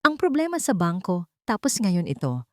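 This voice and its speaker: A woman's voice: medium pitch, huge pitch swings, moderate pace, a little mellow, dry recording, studio quality. A woman voicing an anxious adult son, agitated and strained, in a story. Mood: panicked. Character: stressed, anxious adult son in family trouble.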